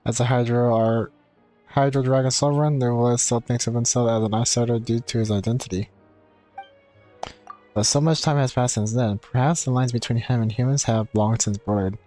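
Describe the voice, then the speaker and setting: A man commenting casually as he streams a game. His tone is soft-edged and dark, and he muses with some hesitation. Light music plays behind him. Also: mid-range voice, steady tone, moderate pace.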